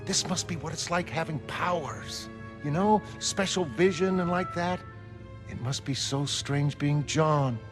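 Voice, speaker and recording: A man's voice: a little high, unhurried, music in the background, close to an everyday voice. A man voicing a candid, lonely woman, a former costumed heroine, conversational and emphatic in a comic-book reading. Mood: delighted wonder.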